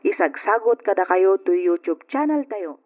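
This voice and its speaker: A woman's voice: medium pitch, animated, moderate pace, a little mellow. Ilocano woman narrating, muffled radio sound. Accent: Filipino, Ilocano. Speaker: radio drama host and narrator. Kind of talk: scripted narration. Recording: dull lo-fi radio with background music. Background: faint music.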